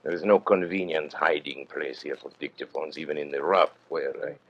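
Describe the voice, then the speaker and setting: An actor playing a suave, menacing condemned criminal agent in an old-time radio drama, theatrical, urbane and mock-polite in a deliberate delivery. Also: male voice, a bass voice, steady tone, lo-fi sound. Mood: suave mockery.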